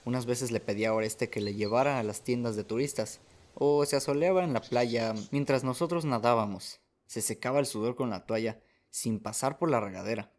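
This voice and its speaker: A man's voice: medium pitch, brisk, darkish tone. A man reading scripted first-person story narration as the narrator of a radio drama.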